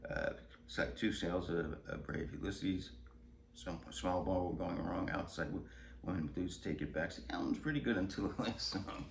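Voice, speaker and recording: Older man, low-pitched voice, rambling casual vlog chat, blunt and chuckly, roomy echo. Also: normal speed. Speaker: host of a music collecting vlog. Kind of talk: casual show-and-tell chat. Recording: roomy echo with clear background noise.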